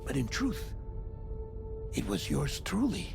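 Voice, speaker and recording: A theatrical male game character, with a swooping voice that savors every revelation. He speaks very slowly and deliberately, fond yet scheming, over clear background music. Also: fairly high pitch, wildly swooping pitch, exaggerated voice. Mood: fond, confessional. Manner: theatrical, drawn-out, deliberate phrasing. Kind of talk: video game cutscene acting.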